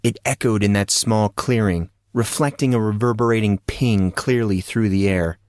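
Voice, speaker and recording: A man reading fantasy fiction as an audiobook narrator, in a deliberate, suspenseful dramatic style. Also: lowish voice, fairly even intonation, a little mellow, dry recording, studio quality.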